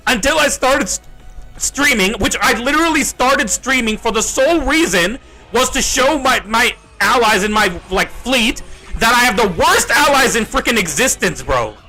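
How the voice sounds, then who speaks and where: A man's voice: sits high, pushed and bright, poor audio. A young Australian man livestreaming a mobile game, chatting casually to viewers in a rambling, exasperated way, swearing and repeating himself. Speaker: gaming streamer. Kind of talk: casual livestream chat while playing.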